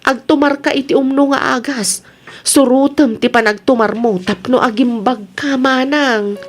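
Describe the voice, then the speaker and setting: A woman's voice: sits high, fairly even intonation, normal speed. A woman with an Ilocano accent acting a concerned, scolding younger relative in a radio drama, nagging and admonishing. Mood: worried, scolding.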